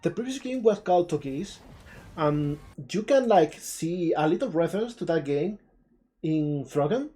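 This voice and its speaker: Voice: medium pitch, lively intonation, normal speed, dark tone. A native Spanish speaker with an Andalusian accent from southern Spain, hosting a live stream with casual, chatty commentary on game trailers. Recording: music playing behind the voice.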